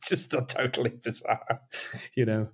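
A man's voice: medium pitch, fairly even intonation, a little slow, fairly bright tone, husky. A middle-aged man, a musician being interviewed, talking casually and rambling with lots of restarts and self-deprecating asides.

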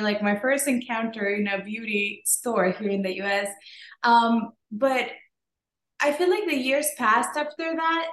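A woman's voice: fairly high pitch, fairly bright tone. A woman in her thirties from Venezuela with a Venezuelan accent, answering interview questions in a chatty way full of "like" and "um". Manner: chatty, many fillers like "like" and "um". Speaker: beauty entrepreneur guest. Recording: echoey room.

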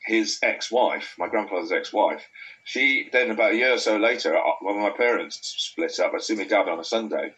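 Bright-toned North Welsh man chatting. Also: lowish voice, normal speed, muffled recording. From Wales. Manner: rambling, anecdotal, many restarts. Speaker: beer writer. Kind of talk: casual chat.

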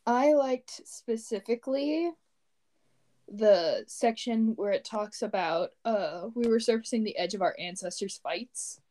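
A young woman discussing poems casually, her voice mellow and warm. She speaks softly, with lots of "like," and keeps the talk going with quiet agreement. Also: medium pitch, animated, measured pace. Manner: soft-spoken, frequent murmured agreement. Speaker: co-host discussing poetry. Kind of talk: casual chat.

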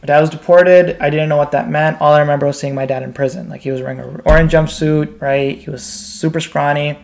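A man's voice: fairly high pitch, fairly even intonation, fairly quick, vocal fry, darkish tone. A man in his twenties with an American accent, telling his personal story candidly and informally as an interview guest, often checking in with "right?". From United States, Pakistan, Bangladesh and Qatar. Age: 20s. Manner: informal, uses frequent "like" and "right?" tags.

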